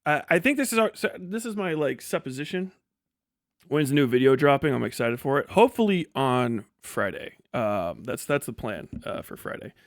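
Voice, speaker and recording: A man livestreaming casual commentary, blunt, scornful and full of swearing, rambling in a halting way with false starts and digressions. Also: mid-range voice, sing-song, dry recording, studio quality.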